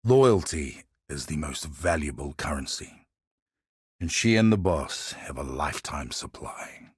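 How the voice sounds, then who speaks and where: An actor playing a sly, world-weary male barber and informant in a video game, husky and wry in his phrasing. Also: rumbling low, big pitch swings, a little slow, no echo, clean studio sound, exaggerated voice. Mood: gossipy, wry. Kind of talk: scripted video game character dialogue.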